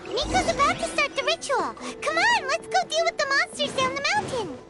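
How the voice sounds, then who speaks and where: Brief: high, bouncy, eager little fairy sidekick for a game. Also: female voice, sing-song, fairly quick. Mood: chirpy. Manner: bubbly, upbeat, eager. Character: tiny chirpy female fairy companion. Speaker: player's guide companion. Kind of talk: video game dialogue. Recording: loud game music behind. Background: game music.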